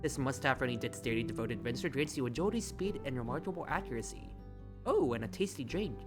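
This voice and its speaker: A streamer commentating on a game and reading its text aloud in an expressive, theatrical, exclamatory way. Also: mid-range voice, fast, over music. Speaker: streamer, host.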